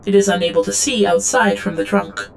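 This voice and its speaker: A woman's voice: mid-range voice, fairly quick, vocal fry, a little hiss or hum. An actress playing an obedient female android drone in an audio drama, reciting logs in a clipped, literal, detached way. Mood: detached, analytical. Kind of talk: audio drama log narration.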